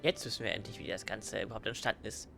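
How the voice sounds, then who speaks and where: A man's voice: fairly low pitch, racing, vocal fry. A man giving casual, explanatory let's play commentary, thinking aloud as he goes. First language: German. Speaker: let's player. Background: game music.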